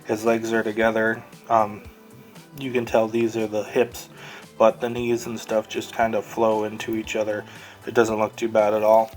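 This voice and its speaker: Low, flat-toned man reviewing toys. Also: normal speed, faint music behind. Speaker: toy reviewer and host of the show. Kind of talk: casual hands-on toy review, unscripted.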